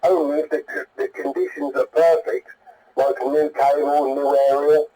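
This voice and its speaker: A man's voice: on the high side, normal speed, mellow, poor audio. A British man heard over CB radio, chatting in a blunt, colloquial, rambling way as an enthusiast. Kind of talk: casual radio chat.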